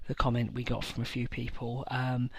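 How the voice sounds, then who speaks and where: A man hosting his own tabletop wargaming channel, talking casually through army lists with frequent pauses and filler words. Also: medium pitch, completely flat delivery, husky, no echo. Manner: hesitant, many fillers and pauses. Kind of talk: casual list-building talk to camera.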